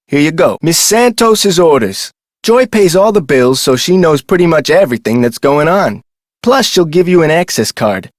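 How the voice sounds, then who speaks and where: A man's voice: a little high, no room sound, studio quality. A man voicing a chatty, folksy security guard at an amusement park in a video game, with colloquial scripted lines. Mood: chatty, informative. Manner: folksy, colloquial, animated delivery.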